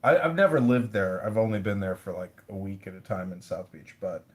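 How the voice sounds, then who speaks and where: A man's voice: deep voice, fairly quick. A man running for office, talking casually in an interview, blunt and rambling, with the odd swear word. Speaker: mayoral candidate.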